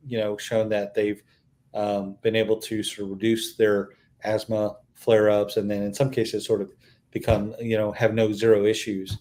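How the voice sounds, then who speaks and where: Low-voiced, earnest middle-aged man. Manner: earnest, steady storyteller with frequent fillers. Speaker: restaurant owner, interview guest. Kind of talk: casual interview chat.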